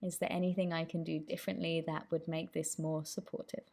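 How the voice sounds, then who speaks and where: A woman leading a guided meditation as the instructor, with gentle, inviting instructions. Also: deep voice, little pitch movement, fairly quick, vocal fry.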